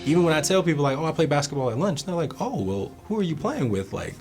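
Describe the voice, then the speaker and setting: Lively young man telling a story. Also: a little high, fast talker, over music. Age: 20s. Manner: animated, acts out quoted exchanges. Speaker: interviewee, youngest player. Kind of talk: casual anecdote.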